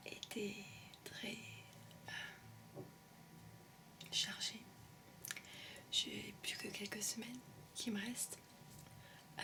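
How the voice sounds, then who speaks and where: A woman's voice: mid-range voice, recorded from a distance. A young woman with an English-tinged French accent rambling in an ASMR whisper, hesitant and full of ums, in shared student housing. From raised in English-speaking countries. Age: young adult. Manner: soft whisper, hesitant with many ums and euhs. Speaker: ASMR creator.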